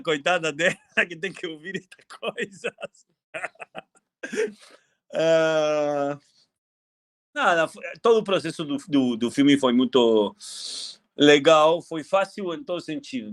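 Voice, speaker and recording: Older Argentine-born director, fairly high voice, halting fond recollection. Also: male voice. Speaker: film director at a panel. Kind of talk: reminiscing in a panel talk.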